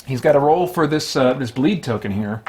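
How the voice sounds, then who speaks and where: A man hosting a solo tabletop game, giving casual, unscripted commentary and haltingly thinking aloud as he plays. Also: mid-range voice, lively intonation, darkish tone, a little hiss or hum.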